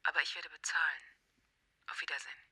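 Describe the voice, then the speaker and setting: Resolute female patient, very bright sharp tone, hushed politeness, radio thriller. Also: deep voice, fairly even intonation, quick pace, slightly rough, no room sound, heavily acted. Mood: quietly resolute. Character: resolute female therapy patient breaking free. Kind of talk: radio crime drama acting.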